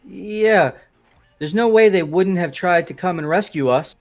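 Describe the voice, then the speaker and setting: Game student role man: disbelieving teen, notably high voice, faint music bed. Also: measured pace. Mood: incredulous. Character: incredulous teenage student. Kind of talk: fan-voiced video game acting.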